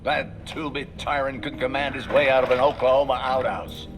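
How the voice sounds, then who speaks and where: A man's voice: medium pitch, fairly even intonation, normal speed, music in the background, close to an everyday voice. An actor playing a cranky, spirited aging father and veteran monster hunter in a video game, American, folksy and dismissive. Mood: scornful. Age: older. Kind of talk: dramatic video game acting.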